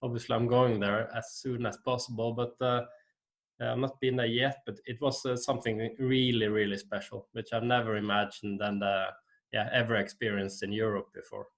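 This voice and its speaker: A man from Norway, speaking English as an in a casual interview and often pausing on fillers and restarts. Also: mid-range voice, flat delivery, a bit bright. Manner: hesitant, many fillers and restarts. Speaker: guest.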